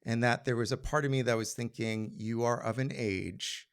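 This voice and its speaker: A man telling a personal story on stage in an animated, conversational way with comic timing. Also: medium pitch, moderate pace, clear, resonant tone. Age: adult.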